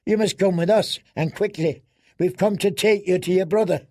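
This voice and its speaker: A man's voice: high voice, fairly even intonation, moderate pace, darkish tone, no room sound, studio quality, pitched above the performer's own voice. A man voicing a hard-edged, urgent woman rescuer in an audiobook, speaking in terse commands. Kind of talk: dramatic audiobook character reading.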